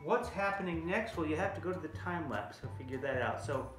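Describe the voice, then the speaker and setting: A man's voice: on the high side, animated, fairly quick, a bit bright. A middle-aged American man giving a casual, playful drawing tutorial for kids, chatting as he draws and stretching out some words. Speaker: children's drawing teacher. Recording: very echoey room, faint music.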